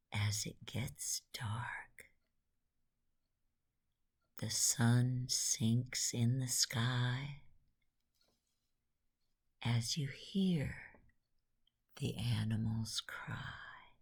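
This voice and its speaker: Very slow, breathy low-voiced woman telling bedtime stories. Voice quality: breathy, husky, often near whisper. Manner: hushed, soothing, slow with long pauses. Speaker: bedtime story narrator. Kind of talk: guided imagery bedtime storytelling.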